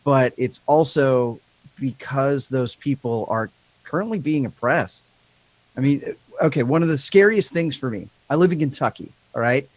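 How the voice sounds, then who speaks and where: A man with a higher-pitched voice and a slight lisp, talking candidly and rambling in a casual podcast chat, swearing freely. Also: normal speed, no room sound, poor audio.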